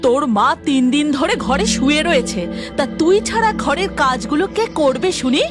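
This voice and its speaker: A woman voicing a spiteful, bullying aunt in a cartoon, scolding and accusing in a sharp manner. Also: high-pitched, animated, moderate pace, over music. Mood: angry, accusing. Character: spiteful, scolding younger aunt bullying her nephew and sister-in-law.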